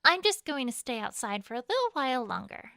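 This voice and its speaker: A woman's voice: high voice, big pitch swings, a little fast, creaky, pushed and bright, dry recording, clean studio sound, full character voice. A woman playing an anxious, caring, studious young pony mother in an audio story, with a flustered, hesitant manner. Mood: flustered, reassuring. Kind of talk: acted audio story dialogue.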